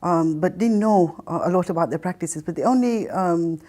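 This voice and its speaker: A middle-aged woman from Kenya and England giving a reflective personal testimony in a hesitant, halting manner with pauses mid-phrase. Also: on the low side, dark tone. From Kenya, raised in Mombasa and then England. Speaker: interview guest telling her life story.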